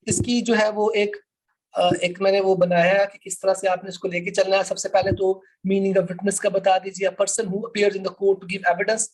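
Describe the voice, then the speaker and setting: A man with a Pakistani accent and a high-pitched voice teaches an online class. He explains in a didactic way, restating points for emphasis and slipping English terms into his speech. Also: rough recording. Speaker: law lecturer. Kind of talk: online lecture, explaining to students.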